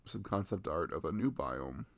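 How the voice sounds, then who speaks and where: A man's voice: low voice, lively intonation, normal speed, vocal fry, dry recording. A young adult man chatting casually and enthusiastically about video games in a rambling, slangy way, close to the microphone.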